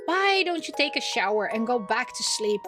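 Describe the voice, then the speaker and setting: A caring young maid played by a woman reading a visual novel, high in pitch, nags and fusses over her roommate with emphasis. Game music plays clearly behind. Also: very animated, normal speed. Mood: fond scolding.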